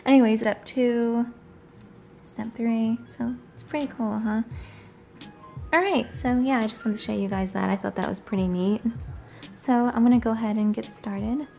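A woman's voice: on the high side, fairly even intonation, moderate pace, vocal fry, long pauses, a little mellow, soft background music. A woman in her thirties chatting casually while cooking in her home kitchen, recorded on a phone, laughing often. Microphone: phone camera.

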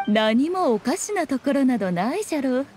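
A regal fox-spirit shrine maiden from an anime game. She speaks in a high voice with sly, self-assured haughtiness and archaic turns of phrase. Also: very animated, fairly quick, darkish tone, a little hiss or hum. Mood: smug. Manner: archaic, haughty, teasing speech.

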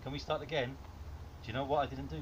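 Man, casual joking commentary, mock-dramatic, medium pitch, noisy garden camera audio. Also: steady tone, fast. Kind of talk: casual playful home-video commentary. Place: small back garden, outdoors. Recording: muffled, noisy outdoor camera audio with clicks.

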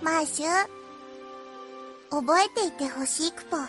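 A woman voicing a small, cheerful, loyal moogle creature in a Japanese audio drama, squeaky and childlike, with a cute verbal tic ending sentences. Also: measured pace, music in the background. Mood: earnest, encouraging.

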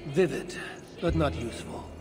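A woman's voice: low-pitched, expressive, moderate pace, over music. An actress playing a resolute, wry warrior woman in a video game, speaking tersely and steadily. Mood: dry, questioning. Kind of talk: dramatic video game cutscene acting.

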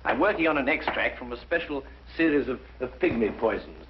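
An actor playing an affable, eccentric British gentleman detective in a 1950s drama, courteous and slightly halting. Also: mid-range voice, animated, close to an everyday voice. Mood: affable, absorbed. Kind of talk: 1950s television drama acting. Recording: muffled, noisy 1950s television soundtrack.

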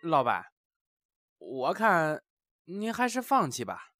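A woman's voice: low voice, wildly swooping pitch, slow, heavy background noise, full character voice. A woman playing a rustic, eager male brothel attendant in a comic audio drama. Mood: obliging. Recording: loud music behind the voice.

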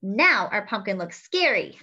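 Young woman, children's story-time reader, crisp bright tone, highly animated intonation, exaggerated expression, indoor webcam recording. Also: a little slow. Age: young adult. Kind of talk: picture book read-aloud for young children. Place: small room indoors at home. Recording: slightly dull webcam audio.

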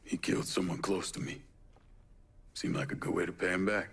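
A tough young American in a game drama. His voice is deep, gravelly and husky, with terse, stoic delivery. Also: little pitch movement, fairly quick, fairly bright tone, heavily acted. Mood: stoic, measured. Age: young adult. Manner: terse, understated. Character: stoic, tough young war veteran turned avenger. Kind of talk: video game cutscene acting.

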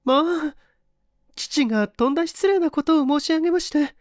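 Man playing a polite young lady, high-pitched. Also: normal speed, dry recording, studio quality. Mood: apologetic. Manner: soft-mannered, formally polite. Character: polite, gentle young lady, the professor's daughter.

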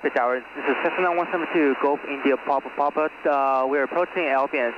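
A man's voice: medium pitch, steady tone, fast, dull audio. A man of eighteen piloting a small plane, speaking radio calls and checks into a headset mic in clipped, procedural phrases. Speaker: pilot, vlogger. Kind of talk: radio calls and cockpit self-talk. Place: small propeller airplane cockpit in flight. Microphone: aviation headset boom mic. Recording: very noisy airplane intercom headset audio.

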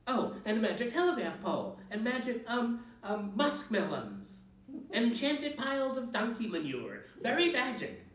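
A man's voice: mid-range voice, normal speed, sharp tone. A man voicing a cranky, theatrical old female witch who grumbles and hisses sarcastically. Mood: mocking. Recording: echoey room, mic at a distance.

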